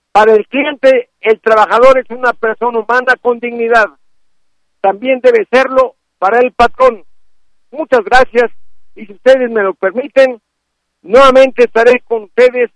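A man's voice: very high voice, dry recording, low-quality recording. A man with a Mexican accent giving a formal, courteous prepared radio commentary. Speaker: radio labour law commentator.

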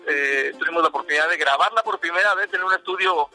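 A man's voice: on the high side, quick pace, bright, forceful tone, faint music behind. A man from Veracruz, a musician guest in a podcast interview, talking casually with many fillers and restarts. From Veracruz, Mexico.

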